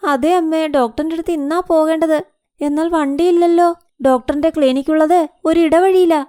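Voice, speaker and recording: A woman's voice: sits high, fairly even intonation, normal speed, mellow, dry recording, clean studio sound, pitched above the performer's own voice, close to an everyday voice. A woman voicing a self-pitying, wheedling young married daughter in a cartoon moral story. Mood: plaintive, wheedling.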